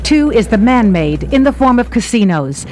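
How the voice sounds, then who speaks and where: A woman's voice: a little high, very animated, moderate pace, noisy background. A woman reading a scripted travel narration as the reporter of the segment. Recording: music behind the narration. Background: background music.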